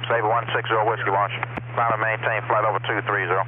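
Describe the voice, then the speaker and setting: A man working as a departure air traffic controller, giving clipped, rapid instructions in radio phraseology. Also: fairly low pitch, flat delivery. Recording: tinny radio channel with static hiss.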